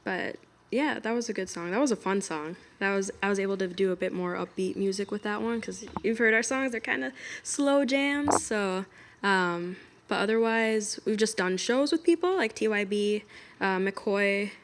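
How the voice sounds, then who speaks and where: Relaxed young woman, reflective guest. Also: medium pitch, normal speed, a little hiss or hum. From United States. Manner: relaxed, reflective, many fillers. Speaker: guest singer. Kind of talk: casual interview talk.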